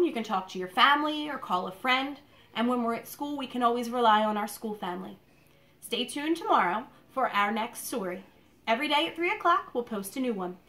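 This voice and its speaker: A woman teacher speaking to young children in an expressive, deliberate read-aloud style, recorded at home in a living room. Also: on the high side. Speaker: elementary school teacher reading a picture book aloud.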